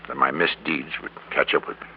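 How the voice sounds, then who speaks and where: An actor playing a greedy, defeated middle-aged businessman in an old radio drama, confessing in a halting, resigned way. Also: rumbling low, steady tone. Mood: weary, defeated. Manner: halting, resigned, repeats words for emphasis. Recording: crackly, narrow-band old radio recording.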